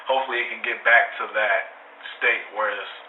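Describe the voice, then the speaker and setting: An American rapper from Lansing, Michigan, giving a casual phone interview in a slangy, emphatic way full of conversational tags. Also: male voice, on the low side, fairly even intonation, moderate pace. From Lansing, Michigan, United States. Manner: slangy, emphatic, peppered with "you know". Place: phone call. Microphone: telephone. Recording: tinny phone line, roomy, distant, faint noise.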